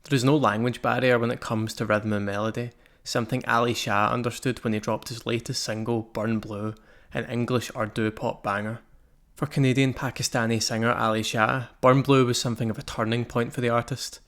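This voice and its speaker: Male host-interviewer, measured read, relaxed questions. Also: medium pitch. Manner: measured, with casual likes in questions. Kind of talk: scripted intro then casual interview questions.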